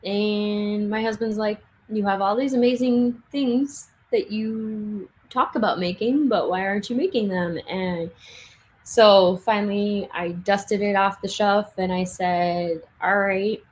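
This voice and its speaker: A young woman chatting casually on a livestream from her home craft room, laughing often and restarting sentences, her voice getting hoarse. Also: medium pitch, fairly even intonation, a little slow, a little mellow, a little hiss or hum, poor audio. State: losing her voice. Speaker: livestream speaker.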